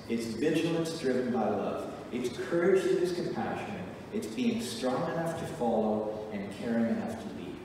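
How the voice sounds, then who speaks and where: A middle-aged man preaching a sermon from a church pulpit, earnest and emphatic with measured pauses. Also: mid-range voice, noisy background. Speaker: pastor. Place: church sanctuary, at a wooden pulpit. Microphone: pulpit mic, recorded from a far camera. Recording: echoey church hall from far off.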